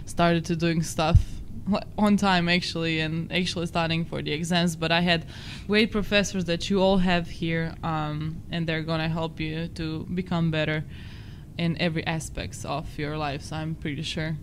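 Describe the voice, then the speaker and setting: A woman's voice: low-pitched, level tone, normal speed, slight background noise. Croatian-accented young woman, chatty. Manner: chatty, self-deprecating, non-native phrasing. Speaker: podcast co-host. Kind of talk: casual chat.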